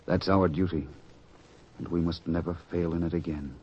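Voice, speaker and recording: A man playing an earnest disciple of Jesus in an old radio drama, speaking solemnly and deliberately with formal diction. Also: low voice, fairly even intonation, lightly acted. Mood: solemn, contrite. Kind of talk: 1940s radio drama acting. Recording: dull old radio recording, noisy.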